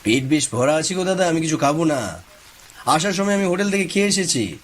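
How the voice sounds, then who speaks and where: A man's voice: fairly high pitch, expressive, brisk. An actor playing an easygoing, teasing younger village man in a cartoon. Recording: faint rain noise behind voice.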